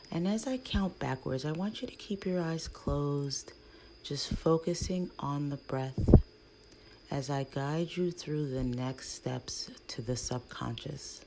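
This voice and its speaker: A woman leading a guided meditation in a hushed, soothing, breathy voice with long pauses between phrases. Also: low voice, expressive, rough recording. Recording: faint background noise.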